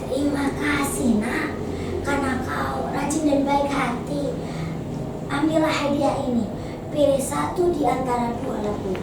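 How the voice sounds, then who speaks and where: A kindly old granny from a folktale, played by a woman, speaking softly and gently. The voice sounds distant in a very echoey space, with noise around it. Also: sits high. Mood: kind, grateful. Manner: soft, slow, gentle.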